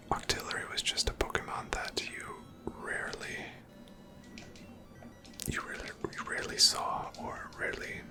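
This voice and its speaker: A person whispering unhurried ASMR commentary over gameplay in a breathy, unvoiced voice, musing aloud. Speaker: ASMR game streamer.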